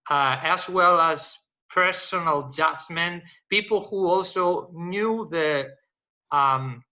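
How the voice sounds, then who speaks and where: A man from Greece, speaking as a data science panelist, halting with many fillers and restarts. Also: a little high, bright, forceful tone, dull audio. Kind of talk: panel discussion, unscripted explanation.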